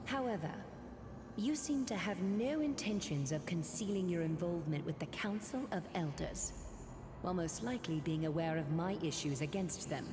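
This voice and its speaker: A woman's voice: lowish voice, lively intonation, normal speed, noisy background, natural voice. A woman playing a regal, calculating noblewoman in a video game, speaking in a stately, coolly composed, formal manner. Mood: cool, suspicious. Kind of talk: scripted English video game voice acting. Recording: echoing voice under game music. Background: game music.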